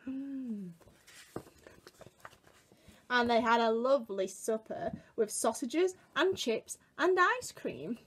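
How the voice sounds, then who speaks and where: A British woman in her twenties telling a picture-book story to young children in an expressive, exaggerated way, recorded indoors at home. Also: fairly high pitch, slow, long pauses, breathy edge. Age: 20s. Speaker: children's museum worker reading a story to children. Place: small room at home, indoors.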